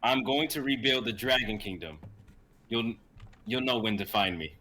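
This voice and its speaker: Man playing defiant dragonborn knight, bright forceful voice. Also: mid-range voice, moderate pace, natural voice. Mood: determined. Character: defiant dragonborn young male graduate knight. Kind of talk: amateur dramatic script reading. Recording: faint background noise, live stream.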